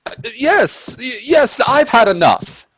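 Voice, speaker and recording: Wonder-struck gentleman companion, radio drama, genteel, hesitant, very lively pitch, dry close sound. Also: slow pace, dry recording, full character voice. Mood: nervous. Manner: hesitant, stammering in dialogue, genteel phrasing. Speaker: actor and narrator in a radio play. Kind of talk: radio drama acting and first-person narration. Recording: husky dry close audio from video-call performance.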